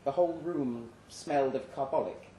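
A man reading literary fiction aloud in a dramatic first-person narration, with a grave, measured delivery. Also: mid-range voice, dark tone, a little hiss or hum. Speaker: story reader. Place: indoor live reading event. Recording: echoey live room.

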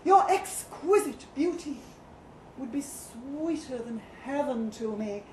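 A woman's voice: high voice, expressive, takes it slowly, a little mellow. A woman voicing an ardent, boastful male statue in a live story reading, with grandiose, declamatory delivery. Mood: boastful ardour. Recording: echoey live room, background noise.

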